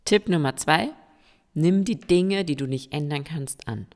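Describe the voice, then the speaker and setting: A woman of around forty, hoarse and stuffy-nosed, hosting a solo podcast and encouraging her listeners directly. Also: sits low, unhurried. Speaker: podcast host. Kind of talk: solo podcast monologue giving tips. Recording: long reverb with faint music.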